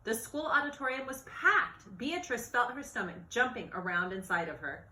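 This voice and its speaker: A woman reading a children's storybook aloud in an expressive, animated narrating style. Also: fairly high pitch, moderate pace, slight background noise. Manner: expressive, acts out dialogue and exclamations. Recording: roomy, echoey sound.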